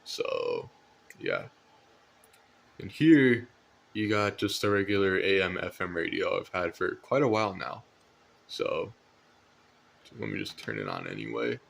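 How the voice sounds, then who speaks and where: Young guy doing a casual vlog showing his stuff, kinda low voice, hesitant, rambles and repeats phrases. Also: wildly swooping pitch, measured pace, gravelly, long pauses, fairly bright tone. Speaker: collector presenting weather radios. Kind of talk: casual show-and-tell vlog. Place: indoors at home at night.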